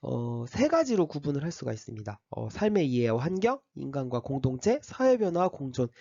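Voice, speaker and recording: A man who teaches high school history and has a slight cold gives a step-by-step orientation lecture to his class, with frequent fillers and restarts. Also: big pitch swings, fairly quick, gravelly, warm tone, dry recording, muffled recording.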